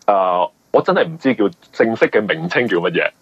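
A man with a Hong Kong Cantonese accent giving conversational interview answers, explaining things haltingly and often repeating words. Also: lowish voice, big pitch swings, breathy edge, no echo. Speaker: guest, mystery novelist. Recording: muffled, band-limited radio interview audio.

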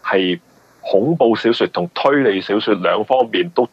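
A man with a Hong Kong Cantonese accent giving conversational interview answers, explaining things haltingly and often repeating words. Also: fairly low pitch, breathy edge, dry recording. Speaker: guest, mystery novelist. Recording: muffled, band-limited radio interview audio.

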